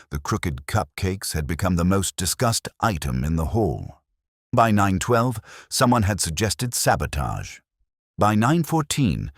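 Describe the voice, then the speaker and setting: Very deep male storyteller, wryly measured. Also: no room sound. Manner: dry, measured, wry mock-gravity. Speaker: narrator. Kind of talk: scripted storybook narration of a comic village mystery.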